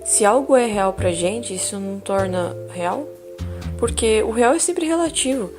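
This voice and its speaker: A woman playing a calm female therapist in an audio drama, gently joking with her patient, with clear music underneath. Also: mid-range voice, a little slow, natural voice.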